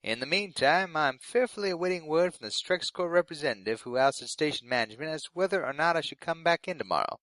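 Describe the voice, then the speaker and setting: Young male radio intern, played by a man: polite, eager, nervous, lighter pitch, fiction podcast newscast, dry sound. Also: lively intonation, fast talker, few pauses, a bit bright, dry recording. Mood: chatty, uneasy. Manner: polite, earnest delivery of absurd news. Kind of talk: scripted fiction podcast in community radio broadcast manner.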